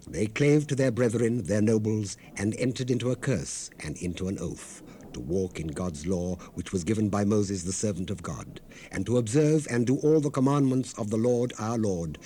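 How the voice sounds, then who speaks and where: Male scripture narrator, lowish pitch, solemn measured delivery, old cassette recording with faint hiss. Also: warm tone. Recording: hissy unprocessed 1970s cassette rip.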